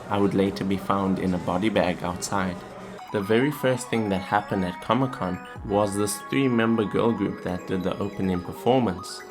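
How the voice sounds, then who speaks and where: A young man narrating a scripted vlog. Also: lowish voice. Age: young adult. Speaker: vlogger.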